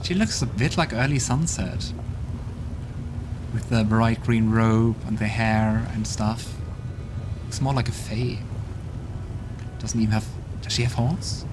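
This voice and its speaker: A man's voice: on the low side, slow pace, long pauses, background noise. A man hosting a role-playing game let's play, chatting casually and musing aloud as he plays. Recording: game music playing behind.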